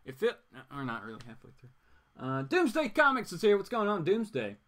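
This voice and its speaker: A man's voice: high voice, wildly swooping pitch, brisk, fairly bright tone. A man hosting a live show, casually reading comics aloud and commenting with mock-incredulous asides.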